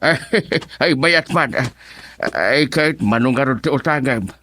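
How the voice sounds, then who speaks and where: A man acting as a jolly, generous older man with drawn-out phrasing in an Ilocano radio drama. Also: mid-range voice, animated, sharp tone. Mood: amused, generous.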